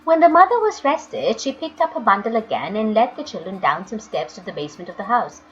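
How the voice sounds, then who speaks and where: A woman storyteller reading a children's book aloud with expressive, dramatic, emphatic phrasing. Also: fairly high pitch, fairly quick. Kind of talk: expressive children's audiobook narration. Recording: dull lo-fi audio, music underneath.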